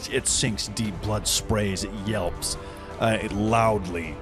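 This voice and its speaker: Male game master, lower register, vivid improvised storytelling with dramatic description, light music underneath. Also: fairly even intonation, takes it slowly. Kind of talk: improvised tabletop game narration.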